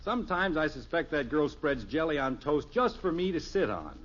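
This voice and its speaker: Genial radio sitcom dad, lively pitch swings. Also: male voice, moderate pace. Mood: flustered, exasperated. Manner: folksy, hesitant, dryly sarcastic. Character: genial middle-aged suburban father, easily exasperated. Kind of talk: 1950s radio sitcom acting. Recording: dull old radio recording, faint hiss.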